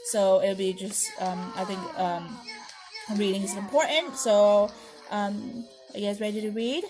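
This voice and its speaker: A woman's voice: mid-range voice, steady tone, measured pace, over music, poor audio. A woman teacher hosting a read-aloud storytime, talking in simple phrases to young children. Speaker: preschool and kindergarten teacher, storytime host.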